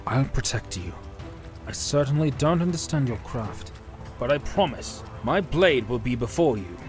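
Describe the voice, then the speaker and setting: A man's voice: medium pitch, lively intonation, normal speed, over music, close to an everyday voice. A man playing an earnest, protective warrior leader in a fantasy audio drama, resolute and heroic.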